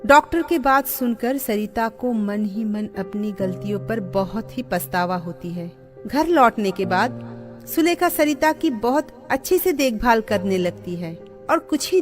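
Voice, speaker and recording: Woman narrator, high voice, lively melodic delivery, scripted storytelling, faint music behind. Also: a little slow. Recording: music under the voice.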